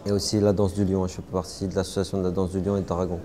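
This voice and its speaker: A young man from the Paris suburbs speaks in a fairly low, mellow voice. He answers interview questions casually and hesitantly, often stopping, restarting and filling pauses. Faint background noise sits under the recording. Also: flat delivery. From Paris suburbs, France. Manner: hesitant, many fillers and restarts. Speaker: interviewee, martial artist.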